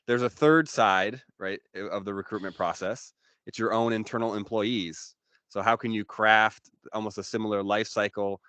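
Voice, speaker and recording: A man with a smooth, fairly low voice, recorded close and dry. He explains business matters as an expert guest in an informal, conversational way. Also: a bit bright, no room sound. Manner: informal, explanatory, frequent "you know".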